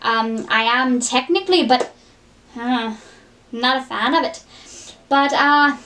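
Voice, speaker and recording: A high-pitched young Scottish princess, played by a woman. She is a tomboy archer from a cartoon, blunt and unbothered, with a Scottish accent. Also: takes it slowly, bright, forceful tone, slight background noise, full character voice, low-quality recording. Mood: blunt, casual. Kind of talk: cartoon character impression.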